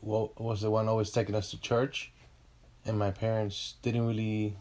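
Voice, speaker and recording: Man in his thirties, from puerto rico, lowish voice, soft-spoken, halting guest. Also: level tone. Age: 30s. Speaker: podcast guest. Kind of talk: casual interview.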